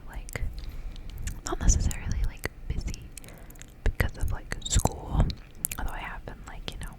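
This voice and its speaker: A young woman speaks in a breathy, unvoiced whisper. Her delivery is soft and informal, in the manner of an ASMR creator chatting casually to the listener. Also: moderate pace. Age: young adult. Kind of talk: ASMR intro and casual life update.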